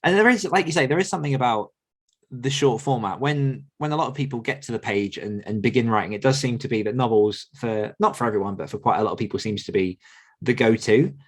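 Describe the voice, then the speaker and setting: A man's voice: medium pitch, fast talker. A man hosting a podcast, asking guests questions in a casual interviewing style. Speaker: podcast host.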